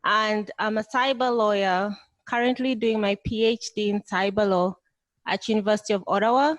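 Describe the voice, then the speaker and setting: A woman's voice: medium pitch, fairly even intonation, normal speed, no echo. A young woman, a cyber lawyer and doctoral student, introducing herself lightly and confidently at a conference.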